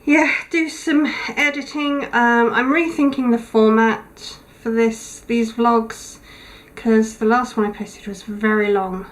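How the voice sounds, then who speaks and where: A tired woman recording a casual video diary, her voice thin and weak, talking haltingly with many pauses. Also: fairly high pitch, steady tone, low-quality recording. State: tired, low on energy. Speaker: vlogger. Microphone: camera mic.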